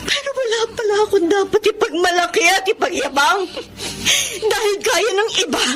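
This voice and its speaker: A woman's voice: extremely high pitch, expressive, normal speed, a bit bright. A woman playing a betrayed, wounded wife accusing her husband in a Filipino radio drama, with a Filipino accent and melodramatic, tearful delivery. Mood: tearful, bitter. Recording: dramatic music bed behind the voice.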